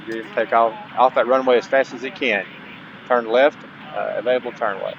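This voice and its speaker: A man's voice: medium pitch, fairly even intonation, a little fast, gravelly. Dull-sounding man doing game tutorial commentary. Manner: casual, folksy asides to viewers. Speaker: host of a gaming channel. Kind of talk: gameplay tutorial commentary, partly reading on-screen instructions aloud. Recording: dull lo-fi mic, faint background noise.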